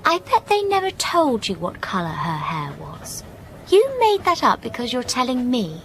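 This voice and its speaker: Radio drama: young female passenger, questioning and challenging, expressive delivery over clearly audible vehicle noise. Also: on the high side, moderate pace. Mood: playfully sceptical. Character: serious young woman passenger, curious and sceptical. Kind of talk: radio drama acting, conversational.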